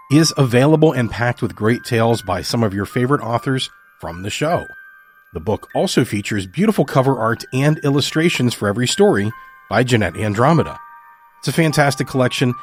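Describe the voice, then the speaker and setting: A man speaking as a podcast host, giving a measured, sincere scripted introduction to listeners. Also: medium pitch. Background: music.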